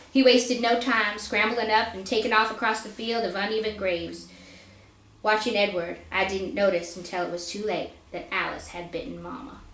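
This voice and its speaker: A woman reading a story aloud at a live indoor reading, narrating expressively and deliberately. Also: medium pitch, a bit bright. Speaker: author reading own fiction aloud. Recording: echoey room live reading.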